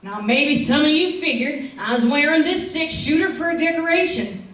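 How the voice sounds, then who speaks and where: A very echoey, distant recording with music behind. a brash, gun-toting frontier saloon woman from a radio western, high in pitch. Her talk is loud, bossy and folksy. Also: lively intonation, normal speed. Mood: bossy, tough. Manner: loud, bossy, folksy Old West speech. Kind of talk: old-time radio western acting.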